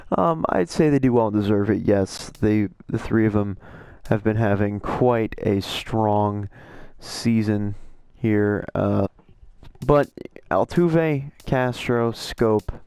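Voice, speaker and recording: Hoarse guy with a gravelly voice, rambly opinionated commentary for his channel, corrects himself a lot, dry close sound. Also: lowish voice, a little mellow, no room sound. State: hoarse, voice a little shot. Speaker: YouTube creator. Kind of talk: casual commentary over an on-screen roster.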